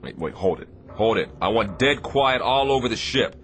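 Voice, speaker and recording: An actor playing a terse, authoritative navy destroyer captain in a radio drama. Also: male voice, medium pitch, expressive, moderate pace, natural voice. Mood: commanding. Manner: authoritative, clipped.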